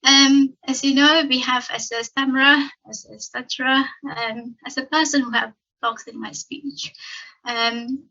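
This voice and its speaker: A woman's voice: high voice, fairly even intonation, creaky, sharp tone. A woman in her fifties from Malaysia with a Malaysian accent, whose first language is Tamil, giving a personal conference talk with many ums and stuttering blocks. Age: 50s. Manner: frequent ums, slow careful phrasing with stuttering blocks. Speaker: conference speaker. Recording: dull lo-fi sound.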